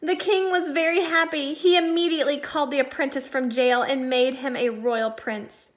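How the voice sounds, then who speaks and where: A woman with a fairly high voice reads a children's storybook aloud like a primary school teacher. Her delivery is deliberate and expressive, leaning on key words so young listeners can follow. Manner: deliberate storyteller, stressing words, dropping to quiet asides. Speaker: second-grade teacher.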